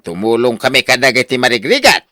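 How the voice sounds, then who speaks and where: A male actor plays a boastful older husband in a Filipino radio drama, with an Ilocano accent. The pitch swings widely and the tone is bright and forceful. He is expansive and full of exclamations, recorded close and dry. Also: sing-song, fast, no echo. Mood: proud, earnest. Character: boastful, generous older husband, newly rich lottery winner.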